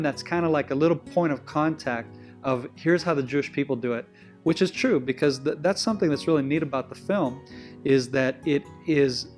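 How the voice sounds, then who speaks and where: A man from Israel with an Israeli accent, whose first language is Hebrew, appears as a guest and tells stories in a rambling way, often stopping to correct himself. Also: on the high side. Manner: rambling, self-correcting, with frequent restarts. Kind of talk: conversational interview storytelling.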